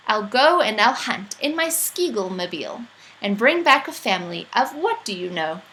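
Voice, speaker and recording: Woman reading as an eager boy, very animated. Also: a little high, slight background noise, full character voice, rough recording. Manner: rhyming, emphatic, sing-song storybook delivery. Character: eager, boastful, imaginative young boy planning a zoo.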